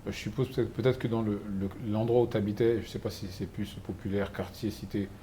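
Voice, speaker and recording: A man hosting an interview, chatty and lively, telling anecdotes and asking questions. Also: fairly low pitch, a little fast, slight background noise. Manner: chatty, animated storyteller. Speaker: host. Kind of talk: interview, conversational.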